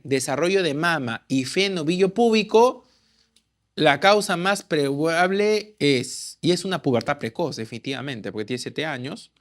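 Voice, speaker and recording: A man's voice: on the high side, fairly bright tone. A man tutoring medical students, walking through exam questions and answering his own questions.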